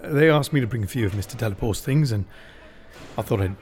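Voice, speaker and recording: A man's voice: medium pitch, quick pace, a little mellow, natural voice. A man playing a polite, weary butler, deferential and restrained, in a radio drama. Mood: subdued concern. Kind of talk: theatrical radio drama acting.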